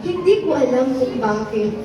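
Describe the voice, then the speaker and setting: A woman's voice: high-pitched, lively intonation, a little slow. A woman playing an eighteen-year-old who narrates her own life story with regret, in scripted radio drama style. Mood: regretful. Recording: echoey hall, distant, music behind.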